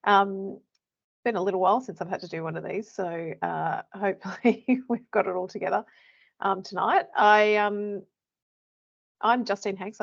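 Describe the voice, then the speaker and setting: A middle-aged Australian woman with a croaky voice gives a chatty webinar presentation as an education manager, with many fillers and restarts. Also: mid-range voice. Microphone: computer mic on a video call. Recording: dull lo-fi webinar audio.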